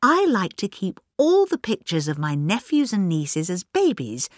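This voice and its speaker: A woman voicing a gentle, polite and shrewd elderly village lady in a storybook reading. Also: mid-range voice, wildly swooping pitch, unhurried, no room sound, clean studio sound. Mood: polite, admiring.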